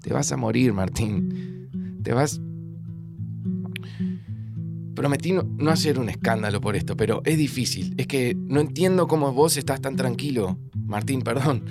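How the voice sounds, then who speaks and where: A man's voice: mid-range voice, lively intonation, normal speed, vocal fry, loud music behind the voice. An actor playing an anguished, sardonic young Argentine student, devoted to his best friend, in a naturalistic audio drama. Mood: anguished, apologetic. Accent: Argentine Rioplatense. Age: young adult. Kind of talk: naturalistic audio fiction acting.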